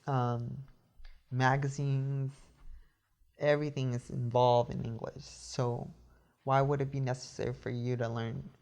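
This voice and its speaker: A man's voice: medium pitch, creaky, darkish tone. A young man giving an earnest, reflective account, thinking aloud and often adding "you know". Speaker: interviewee.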